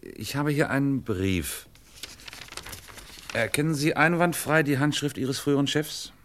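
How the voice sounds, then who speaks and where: An actor playing a methodical, probing police commissioner in a German radio crime drama, measured and wryly superior. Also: male voice, mid-range voice, animated, slight background noise, close to an everyday voice. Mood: politely probing.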